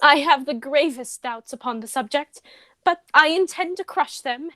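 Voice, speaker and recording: A woman's voice: high voice, normal speed, sharp tone, dry recording. A woman acting a haughty, dignified young society lady in a radio play comedy, speaking in a formal, declarative way. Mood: grand, decisive.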